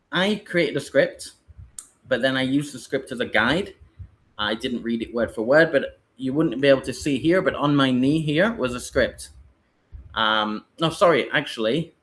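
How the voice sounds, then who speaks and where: A British man talks his way through a live webinar for new online teachers, drifting and rambling with plenty of fillers. His voice sits at an ordinary middle pitch for a man, neither deep nor high. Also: fairly bright tone. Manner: loose, rambling explanation with fillers.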